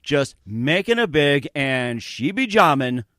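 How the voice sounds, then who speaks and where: A man hosting an interview show, speaking in a halting, rambling way as he does promos and questions. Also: a little high, big pitch swings, a bit bright, no echo, studio quality. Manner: halting, rambling, filler-heavy. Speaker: radio show host and interviewer.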